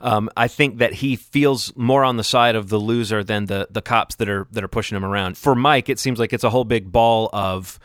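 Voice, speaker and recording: A man's voice: on the low side, a little fast, few pauses, a bit bright, no echo, clean studio sound. A man co-hosting a podcast, talking through a TV episode in a casual, rambling, analytical way. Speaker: podcast co-host. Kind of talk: casual TV recap discussion.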